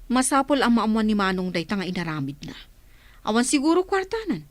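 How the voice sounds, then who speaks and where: A woman's voice: fairly high pitch, sing-song, fairly quick, dry recording. A woman playing a concerned, inquiring village woman in an Ilocano radio drama, with an Ilocano accent.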